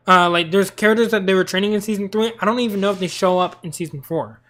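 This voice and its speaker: High-voiced tired young man streaming. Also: fast talker, fairly bright tone. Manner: rambling, talkative. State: tired, losing his voice. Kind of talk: casual live-stream commentary.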